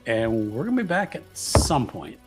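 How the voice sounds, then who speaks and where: Very animated man hosting a game show. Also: mid-range voice, normal speed, over music. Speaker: host of a tabletop roleplaying show. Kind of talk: casual sign-off chat with banter.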